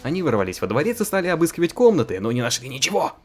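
A young man hosting a casual let's-play, narrating a visual novel and joking about it. His voice moves lively up and down at a medium pitch, with faint music in the background. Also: racing. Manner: playful, giggly. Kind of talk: reading a visual novel aloud and chatting.

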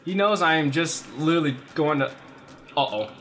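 A man giving casual, excitable gameplay commentary with friends, exclaiming and swearing as he plays. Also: fairly high pitch, moderate pace. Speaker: gamer playing an online tank game. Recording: music mixed behind the voice. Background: music.

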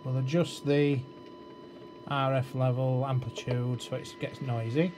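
Man thinking aloud over loud noise. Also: medium pitch, fairly even intonation. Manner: halting, thinking aloud while working. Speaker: electronics repair demonstrator. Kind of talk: informal hands-on technical walkthrough. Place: indoors at an electronics workbench. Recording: very noisy amateur room recording, loud hiss. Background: loud electronic noise.

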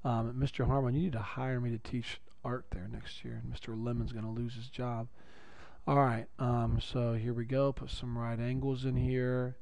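Raspy-voiced male math teacher. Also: mid-range voice, little pitch movement, moderate pace, darkish tone, no room sound. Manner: upbeat, chatty, repeats key phrases. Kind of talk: recorded classroom lecture.

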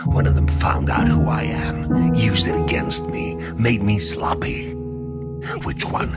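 An actor playing a grim, obsessive, proud male vigilante, gravelly and brooding, in a dark comic-book drama. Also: extremely low pitch, animated, over loud music, full character voice. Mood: anguished, angry. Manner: brooding, terse, deliberate.

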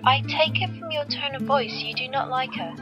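A woman's voice: sits high, moderate pace, lo-fi sound, over music, close to an everyday voice. A woman playing a polite, dutiful artificial companion in an audio drama, speaking formally and precisely.

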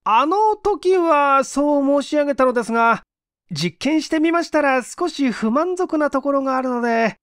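A man's voice: extremely high pitch, lively intonation, normal speed, fairly bright tone, no room sound, clean studio sound, pitched above the performer's own voice. A man voicing an anxious young engineer with a polite, hesitant, apologetic manner in a storybook reading. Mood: apologetic, uneasy.

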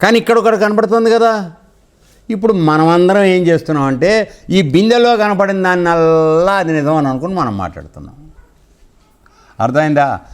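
A male spiritual teacher speaks in a somewhat high voice. He explains teachings conversationally, as in a discourse with parables, and puts frequent questions to his listeners to check they understand.

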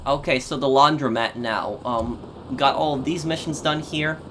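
A man hosting a gaming video, giving casual playthrough commentary in a halting, think-aloud way. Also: mid-range voice, pushed and bright, slight background noise.

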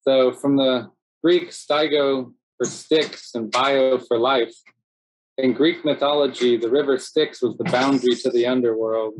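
A middle-aged man, presenting a slideshow in a relaxed, chatty way full of "so yeah" and "um", speaking over a video call from home. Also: medium pitch, unhurried, slight background noise, low-quality recording. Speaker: city cave biologist presenting. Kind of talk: casual narrated slideshow talk.

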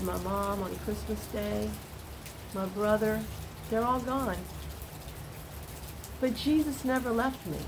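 An older woman giving a gentle spoken devotional, earnest and unhurried, recorded close on a phone indoors with rain falling outside. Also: medium pitch, gravelly. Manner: slow, soft and earnest, with pauses. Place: indoors, small room by a door, rain outside. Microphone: phone camera at close range. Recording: steady rain noise behind.

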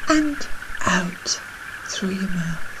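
A woman leading a guided breathing meditation in a soothing, measured, soft and breathy voice that nears a whisper at times. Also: on the low side, expressive, noisy background. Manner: soothing, measured, unhurried instruction.

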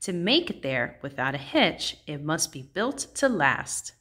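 A determined little girl captain from a picture book, voiced by a woman reader. She is upbeat and earnest, giving orders with very lively intonation. Her words come slowly and deliberately. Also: deep voice, voice pushed down, exaggerated voice. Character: plucky, determined young girl rocket captain.

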